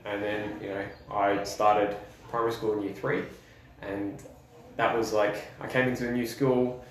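A man's voice: fairly low pitch, flat delivery, measured pace. A young Australian man from South Australia telling his life story in a loose, chatty way, full of "like" and restarts. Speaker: podcast guest. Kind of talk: casual storytelling. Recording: echoey, far from mic, faint background noise.

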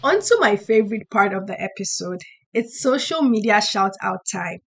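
A young woman hosting a faith podcast, talking in a chatty way with a hoarse voice and laughing between points. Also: medium pitch, lively intonation, moderate pace, faint music behind. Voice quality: husky. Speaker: podcast host. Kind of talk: faith-based casual podcast talk.

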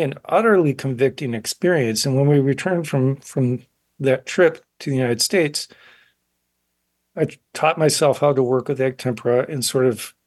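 A man in his sixties talking reflectively in an interview, thoughtful and halting as he searches for words. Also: mid-range voice, husky, no room sound. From grew up in South Korea as child of missionaries. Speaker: guest, painter.

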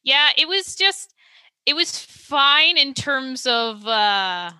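A young woman chats as a guest on a podcast, her voice very bright and a little high. She often pauses with ums and restarts her sentences, and the sound is dry and close. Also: animated, no room sound. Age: young adult. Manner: hesitant, many ums and restarts. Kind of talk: casual podcast chat.

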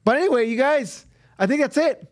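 Fields: Sex: man. Role: podcast co-host. Pitch: high. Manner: playful. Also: lively intonation, a little fast. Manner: playful, hypes things up, drops Spanish slang. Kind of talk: casual chat.

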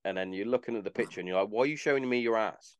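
Deep-voiced man in his thirties. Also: racing, clean tone, no room sound. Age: 30s. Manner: blunt, swears casually, sardonic asides. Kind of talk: casual chat.

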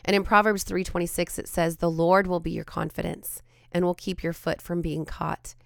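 A woman hosts a devotional podcast in a fairly low, gravelly voice, hoarse and raspy from a cold. She talks in an earnest, reflective way, often pausing with ums and restarting her sentences. The sound is dry and close to the mic. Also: flat delivery, dry recording. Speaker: podcast host and Bible teacher.

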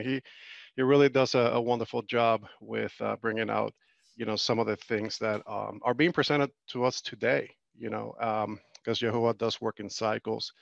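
A man hosting an online faith gathering, introducing the teacher in a halting way with many fillers and restarts. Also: fairly low pitch, vocal fry, fairly bright tone, no echo. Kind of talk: loose, rambling introduction. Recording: dull, muffled sound.

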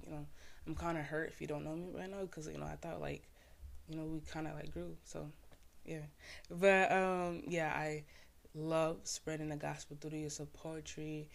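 A man's voice: a little high, creaky. A young man from Haiti, a podcast host and poet, casually explaining his own poem in laid-back slang full of "like" fillers.

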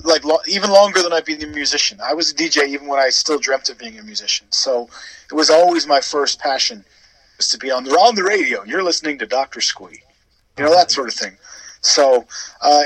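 A man's voice: medium pitch, a bit bright, husky, dry recording, rough recording. A man, from Canada and Wales, chatting casually and telling stories with asides as a radio guest. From born in Wales, grew up in Canada. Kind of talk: casual chat and storytelling.

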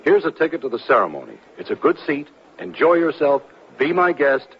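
An old radio recording, dull with faint noise. a brisk, dismissive official with lively intonation and officious politeness. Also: male voice, a little high. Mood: impatient. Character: brisk, condescending male official guarding the governor's office. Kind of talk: 1970s radio drama acting.

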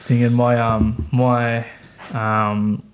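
A man's voice: mid-range voice, level tone, very slow. A young man, a podcast guest, talking casually and rambling through fillers and restarts. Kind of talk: casual chat.

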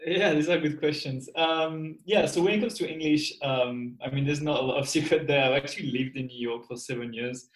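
A young man, a native French speaker with a French accent, answering a podcast host's questions in a thoughtful, measured way. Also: fairly high pitch, roomy sound, rough recording. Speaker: podcast guest. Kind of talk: casual podcast interview.

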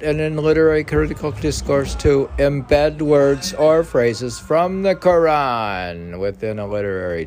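Very slow, gravelly, low-voiced man reading aloud. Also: darkish tone. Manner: halting, stumbling and repeating words while reading. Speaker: podcast host reading poetry and commentary aloud. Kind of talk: informal poetry reading with muttered asides.

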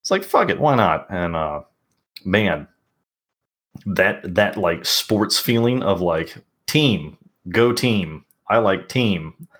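Deep-voiced Southern American man. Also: sing-song, takes it slowly, a bit bright, slightly rough. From Southern United States. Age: middle-aged. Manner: laid-back, swears freely, wry. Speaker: podcast co-host. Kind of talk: casual chat.

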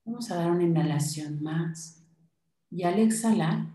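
A woman with a low, mellow voice leads a guided meditation. She soothes and instructs in short, spaced phrases. The sound is roomy and echoing, with music behind her. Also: unhurried.

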